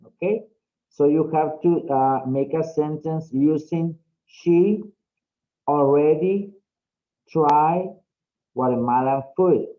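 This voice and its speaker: A man teaching an English class, explaining slowly and often checking in with "okay?". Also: fairly high pitch. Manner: slow, drawn-out, frequent "okay?" checks. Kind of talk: online class instruction. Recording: dull lo-fi audio.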